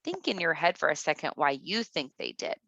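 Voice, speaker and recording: A woman teacher reading aloud to kindergartners, speaking deliberately and instructively, with asides addressed directly to the children. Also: sits low, very animated, gravelly, sharp tone, dry recording, highs cut off.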